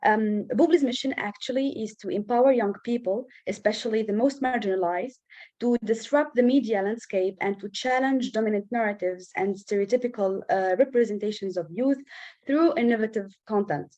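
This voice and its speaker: A woman with a fairly high voice introduces herself as a panelist over a video call. She speaks in a careful, halting way. Her audio is dull and muffled. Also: dark tone. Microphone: video call, camera off.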